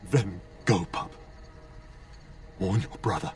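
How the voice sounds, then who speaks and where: Slow, pained dying nobleman. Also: low voice, darkish tone, recorded from a distance, faint music behind. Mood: tender farewell. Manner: halting, pained delivery. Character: wounded, dying nobleman father, tender and resolute.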